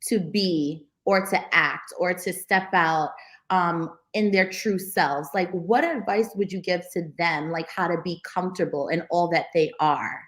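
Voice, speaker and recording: A woman's voice: lowish voice, measured pace, fairly bright tone. A woman interviewer asking a long, hesitant question full of fillers on a video call. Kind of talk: casual Q&A.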